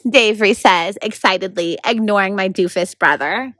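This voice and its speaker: A woman's voice: mid-range voice, normal speed, no room sound. A woman reading a children's novel aloud as a volunteer storyteller. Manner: coaches child readers with quiet prompts.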